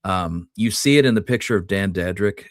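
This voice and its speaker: A man's voice: deep voice, normal speed, no room sound, clean studio sound. A man co-hosting a podcast, discussing history in an informal, conversational manner. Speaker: podcast co-host.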